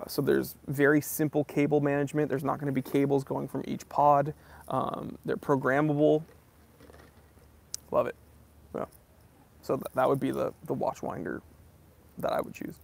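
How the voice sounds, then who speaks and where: Gravelly-voiced man hosting a casual Q&A. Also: fairly high pitch. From New England, US. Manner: reads viewer comments aloud, answers in a friendly easygoing way. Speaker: host of a watch channel. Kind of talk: casual livestream Q&A.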